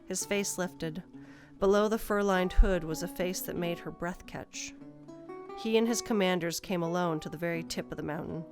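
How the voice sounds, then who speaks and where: A woman with a fairly low voice narrates a scripted fantasy tale. Soft guitar music plays faintly behind her as she reads. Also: normal speed.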